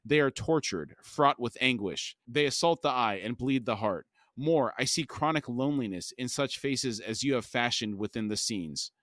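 A man voicing a cruel, ailing god in an audiobook-style reading, raspy and wheezing, with slow, imperious delivery. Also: medium pitch, expressive, fairly bright tone, no echo, natural voice. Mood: darkly pleased.

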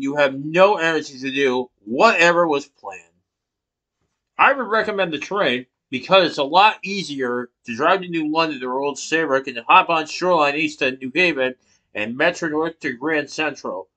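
Bright-voiced man giving a persuasive speech. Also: on the high side, normal speed, low-quality recording. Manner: rhetorical questions, emphatic hand gestures. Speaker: student giving a class speech. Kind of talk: persuasive speech, partly read from notes. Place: small room indoors. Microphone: laptop webcam from below.

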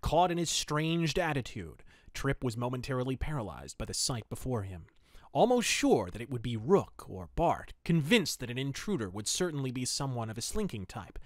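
A man with a mid-pitched voice presents a podcast about pulp fiction. He reads stories aloud and reviews them. The sound is dry, with very little room around the voice. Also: no room sound. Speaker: podcast host.

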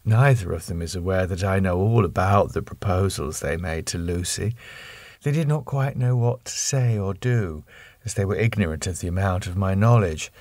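Low-voiced man reading, very dry sound. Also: a little mellow, no echo. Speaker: audiobook narrator. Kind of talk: scripted narration of a classic novel.

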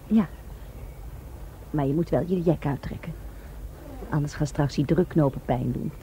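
Plagerige, sensuele jonge vrouw, zwoel gedempt. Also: female voice, deep voice, measured pace, dark tone, a little hiss or hum, rough recording. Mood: coy. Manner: playful, intimate, teasing. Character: teasing, sensual young Japanese woman, gangster's companion. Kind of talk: Dutch radio drama acting.

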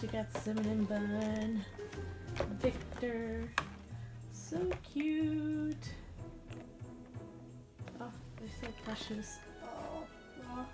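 A young woman unboxing toys in a living room, gushing and cooing over them, her voice strained as she is losing it. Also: a little high. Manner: gushing, coos over toys, whispers at times. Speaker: unboxing vlogger. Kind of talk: casual unboxing chat.

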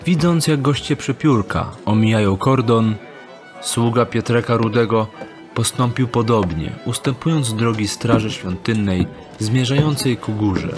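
Mid-pitched male narrator over music. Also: unhurried. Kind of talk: scripted narration of a fantasy audio drama.

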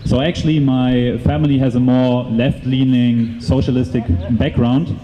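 A man in his twenties with a German accent, giving an unscripted talk outdoors into a handheld mic, halting with many fillers and restarts. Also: medium pitch, flat delivery, warm tone. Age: 20s. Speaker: speaker at a rally. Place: outdoors in a courtyard by a brick building. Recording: open-air PA reverb, faint noise.

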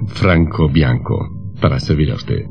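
An actor playing a cheeky, charming young journalist with a jaunty, flattering manner in old radio melodrama style. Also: male voice, very deep voice, measured pace, dull audio, faint music behind.

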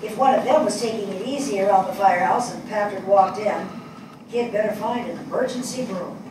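A woman's voice: mid-range voice, recorded from a distance, natural voice. Older woman acting a compassionate writer, very echoey. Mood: proud tribute. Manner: measured, earnest, deliberate. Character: thoughtful, compassionate woman writer helping draft eulogies. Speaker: actor in a staged play reading. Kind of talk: dramatic stage reading. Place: small indoor theatre stage before an audience. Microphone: distant camera mic. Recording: echoey stage picked up from afar, noisy.

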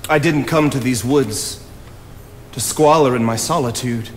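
An actor on stage playing an earnest, brooding 19th-century writer, speaking in a deliberate, declamatory way. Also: male voice, medium pitch, close to an everyday voice. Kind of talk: theatrical stage acting. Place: theatre stage, indoors. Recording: live theatre, reverberant, faint noise.

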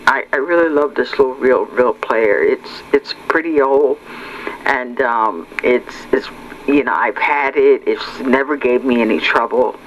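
Slow, halting man chatting over background noise. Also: medium pitch, a bit bright, rough recording. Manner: halting, rambling, repeats words. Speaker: hobbyist video narrator. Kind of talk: casual unscripted chat. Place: indoors at home.

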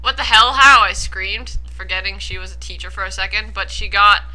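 A woman's voice: medium pitch, fairly even intonation, brisk, sharp tone, low-quality recording. A young woman co-hosting a comedic commentary, reading aloud mockingly and chatting casually in a giggly, incredulous way. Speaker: co-host of a comedic fan fiction commentary. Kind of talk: casual chat mixed with mocking dramatic reading.

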